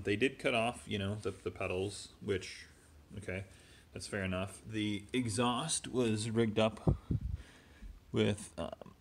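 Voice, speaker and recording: A man hosting a casual hands-on walkthrough, thinking aloud in a halting way, recorded on a handheld phone in a garage. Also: fairly low pitch, fairly bright tone. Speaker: host showing a repair project. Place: indoors in a garage. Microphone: handheld phone camera, off screen.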